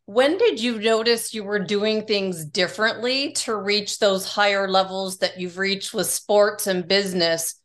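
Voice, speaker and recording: A woman hosts a podcast with an enthusiastic, polished delivery. She reads her introduction smoothly, then moves into questions for her guest in a voice of middling pitch. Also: unhurried, hardly any pauses, fairly bright tone. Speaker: podcast host.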